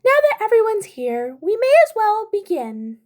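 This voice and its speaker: Very squeaky, chipper creature principal. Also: female voice, very animated, normal speed, a little mellow. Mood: brisk, formal. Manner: formal, cheerful, precise phrasing. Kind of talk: fan fiction audiobook character voice.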